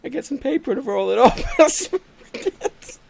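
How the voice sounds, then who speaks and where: Man, casual anecdote teller, livestream co-host, rambling, repetitive, extremely animated, slight background noise. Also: very high voice, fairly quick, creaky. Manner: rambling, giggly, repeats himself.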